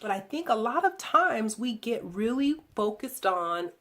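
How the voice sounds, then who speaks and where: A woman hosting a relationship video blog, speaking in a reflective, deliberate monologue with emphatic phrasing. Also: on the high side, very animated, a bit bright.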